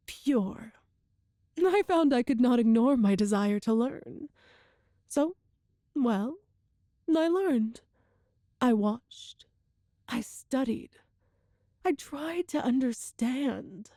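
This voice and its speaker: A woman playing a cruel, smug, seductive empress in a scripted ASMR roleplay, speaking formally and measuredly with lingering pauses. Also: a little high, warm tone, dry recording, clean studio sound. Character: cruel all-powerful evil empress, coolly seductive and smug.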